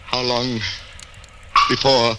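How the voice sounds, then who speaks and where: An actor playing a desperate condemned prisoner in theatrical, intense 1940s radio horror style. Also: male voice, mid-range voice, little pitch movement, slow pace, background noise, natural voice. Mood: hushed dread. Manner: theatrical, intense dramatic delivery. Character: condemned prisoner captain, desperate, tormented, wavering between dread and resolve. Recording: old radio broadcast with music behind.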